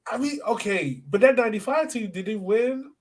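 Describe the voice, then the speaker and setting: Guy with a slightly high voice on a sports pod, super informal, tosses out questions. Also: expressive, brisk. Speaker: podcast host. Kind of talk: casual sports podcast chat.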